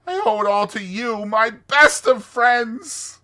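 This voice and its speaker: A man voicing a grandiose, besotted toad in a storybook, gushing dreamily and dramatically with drawn-out words. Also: very high voice, bright, forceful tone, voice pushed up, heavily acted. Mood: rapturous, gushing. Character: grandiose, giddy male toad, besotted and theatrical.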